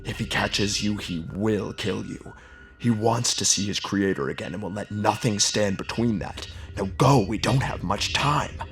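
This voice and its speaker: An actor playing a weary old man who gives slow, ominous warnings in a horror audio drama. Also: on the low side, vocal fry, a bit bright. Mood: grave warning. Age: older. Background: background music.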